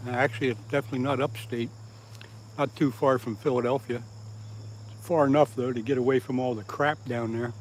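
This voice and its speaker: A man of middle pitch from southeast Pennsylvania hosts a live fishing stream outdoors by the water. He chats casually, answers viewer comments and laughs at his own jokes. Faint insect noise hums behind him. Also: steady tone, brisk, rough recording. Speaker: fishing livestream host.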